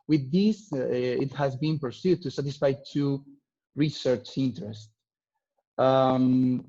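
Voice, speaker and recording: A man's voice: medium pitch, dark tone, muffled recording. A young man with a Spanish accent, a native Spanish speaker, reading an academic presentation in hesitant, stumbling English. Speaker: doctoral researcher giving a talk. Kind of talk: academic presentation read from a prepared text.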